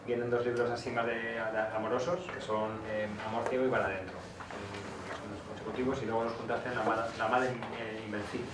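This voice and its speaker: A man moderating an informal interview, a bit halting as he checks details aloud. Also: on the low side, steady tone. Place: school classroom, talk to teachers. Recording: echoey room, distant mic, noisy.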